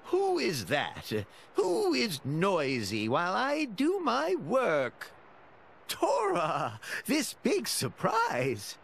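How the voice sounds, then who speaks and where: A grumpy old cartoon creature for a game, high for a male and very croaky. He grumbles in broken grammar at a slow, swooping pace. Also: huge pitch swings, fairly bright tone, full character voice. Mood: grumpy surprise. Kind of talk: cartoonish video game acting.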